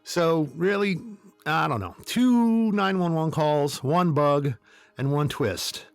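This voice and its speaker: A man's voice: on the high side, slow pace, no room sound. Wry male podcast host over music. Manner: wry, tongue-in-cheek. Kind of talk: casual humorous storytelling commentary.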